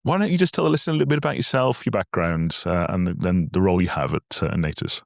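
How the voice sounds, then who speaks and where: A man hosting a business podcast, chatty and a little self-deprecating, his voice slightly croaky from a cold. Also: low-pitched, quick pace, dry recording, studio quality. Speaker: podcast host. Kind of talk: casual podcast chat.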